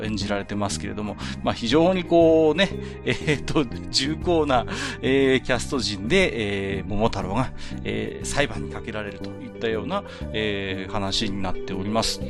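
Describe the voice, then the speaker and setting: Man podcasting over clearly audible music. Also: medium pitch. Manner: polite, conversational explaining. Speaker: podcast host. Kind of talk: casual explanatory podcast monologue about TV. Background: music under the voice.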